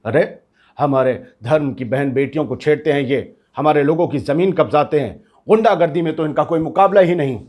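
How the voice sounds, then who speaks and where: A cocky street rabble-rouser, played by a man in a satirical audio drama. His voice sits a bit high for a man, and he talks in brash, boastful slang with a cynical, menacing edge. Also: brisk, a little mellow, close to an everyday voice. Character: cocky, cynical street agitator paid to stir religious hatred. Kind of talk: scripted satirical dialogue in street Hindi.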